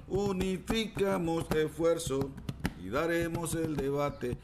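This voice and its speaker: An old village folk singer acted by a man delivers repeated verses in a fairly high voice. The singing is slow and reassuring, in a radio drama with clear noise in the recording.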